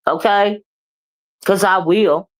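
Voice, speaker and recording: Woman, loud and boisterous, blunt and profane, moody livestream ranter. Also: mid-range voice, steady tone, takes it slowly. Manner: blunt, profane, keeps asking "okay?" and "right?"; moody, confrontational. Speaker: live streamer and commentator. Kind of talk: ranting live commentary.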